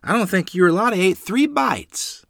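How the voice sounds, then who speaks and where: Man playing a high-voiced, questioning uncle. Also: lively intonation, moderate pace, gravelly, a bit bright, dry recording, clean studio sound, full character voice. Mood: concerned. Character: concerned, teasing uncle in a family story.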